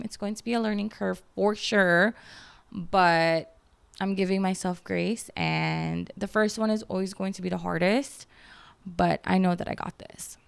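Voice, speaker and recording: Podcast host: woman, 30s, Filipino accent, gravelly but smooth voice, dry close sound, rambling and chatty. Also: medium pitch, dry recording. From Philippines. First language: Filipino language. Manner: chatty, lots of "like" and "um", laughs at herself. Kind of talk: casual solo podcast chat.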